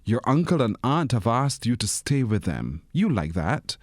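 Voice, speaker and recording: A man's voice: mid-range voice, big pitch swings, moderate pace, darkish tone, no echo, studio quality. A man voicing a protective village father in a children's storybook, earnest and instructing. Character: boy's father, urgent, protective village farmer.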